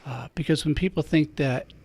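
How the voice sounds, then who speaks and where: A man's voice: on the high side, moderate pace, breathy edge. A man, a priest who hosts a radio talk show, chatty and joking as he wraps up with thanks and plugs. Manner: chatty, jokey, warm sign-off.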